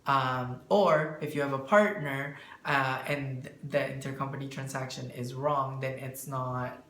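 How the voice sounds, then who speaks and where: A man answering interview questions as a bookkeeper, explaining in a halting way and searching for words. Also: medium pitch, fairly even intonation, a bit bright. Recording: echoey room, faint background noise.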